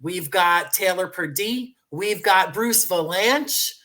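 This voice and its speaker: A man's voice: high-pitched, animated, slow pace, bright, forceful tone. A man speaking as an interview guest, articulate and carefully enunciated, presenting a project in conversation. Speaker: guest, audio drama creator.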